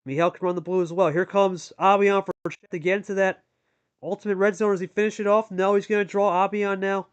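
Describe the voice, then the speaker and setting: A man's voice: high voice, little pitch movement, fairly quick, clear, resonant tone. A man giving live sports-style commentary on a card game as host, talking colloquially and addressing players like buddies.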